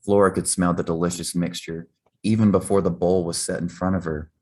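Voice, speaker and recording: A man's voice: low voice, flat delivery, a little fast, mellow. A man reading a children's story aloud as scripted narration. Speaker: reader.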